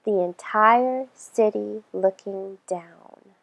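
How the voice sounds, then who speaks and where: A woman reading a children's story aloud in an expressive storytelling style. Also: medium pitch, extremely slow, gravelly, poor audio.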